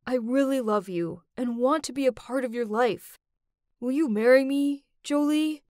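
A woman's voice: sits high, a little slow, a little mellow, no echo, clean studio sound. A woman storyteller voicing an earnest, blunt, easily exasperated college-age man in a dramatic story.

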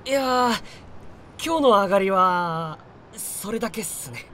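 An actor playing a naive, loyal young stray tomcat, a rookie gang underling with boyish, slangy talk in a voice drama. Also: male voice, high voice, animated, unhurried, sharp tone, a little hiss or hum, exaggerated voice. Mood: sulky, reluctant. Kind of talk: Japanese voice drama acting.

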